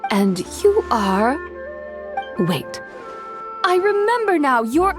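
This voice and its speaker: An actress playing a serene, erudite immortal spirit in a video game, speaking in formal, poetic, measured phrases. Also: female voice, sits high, very animated, over music, exaggerated voice. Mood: matter-of-fact. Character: serene, erudite female immortal horse spirit, gently aloof.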